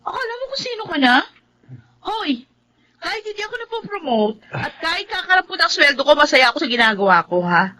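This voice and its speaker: Casting: indignant Filipino female office worker, piercing bright voice, extremely high, radio-drama delivery. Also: big pitch swings, brisk, heavily acted. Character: feisty, indignant low-paid female office employee.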